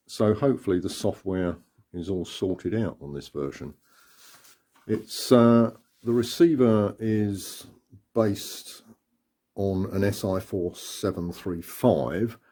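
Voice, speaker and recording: A man with a husky voice giving an unhurried, matter-of-fact hands-on demonstration of equipment. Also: lowish voice, warm tone. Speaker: radio hobbyist presenter.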